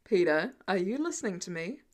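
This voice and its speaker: A long-suffering teenage boy done by a woman, protective of his best friend. He speaks tersely and dryly, pitched down, in audiobook style. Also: very animated, fairly quick. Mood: insistent.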